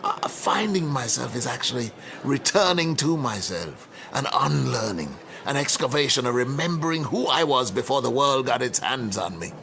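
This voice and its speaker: An actor playing a reflective older academic mentor in a radio drama, eloquent, measured and wry. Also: male voice, mid-range voice, sing-song, vocal fry, fairly bright tone, a little hiss or hum. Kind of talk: naturalistic radio drama dialogue.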